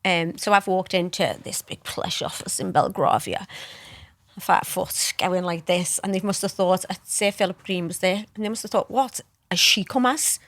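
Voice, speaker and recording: A woman from Liverpool in her thirties with a strong Scouse accent, a podcast guest who tells blunt, animated stories and acts out the voices in them. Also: on the low side, normal speed, no echo. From Liverpool, England. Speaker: guest, entrepreneur. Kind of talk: casual interview chat.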